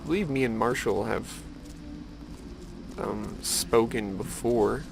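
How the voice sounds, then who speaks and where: A man's voice: medium pitch, slow pace, vocal fry, music in the background, rough recording. A man giving casual, rambling game commentary with musing, wry asides to the viewer. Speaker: gameplay video host.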